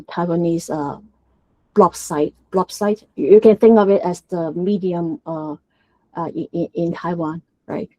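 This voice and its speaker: A woman's voice: fairly low pitch, warm tone, low-quality recording. A woman from Hong Kong with a Hong Kong accent and Cantonese as her first language, giving a technical presentation at a developer meetup. She talks hesitantly, with many filler sounds and restarts. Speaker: guest speaker at a developer meetup.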